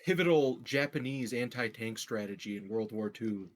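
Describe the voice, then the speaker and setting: A man joking casually as a player in a tabletop roleplaying game, dropping deadpan quips. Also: fairly low pitch, moderate pace, a crowd in the background. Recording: faint background noise and clicks.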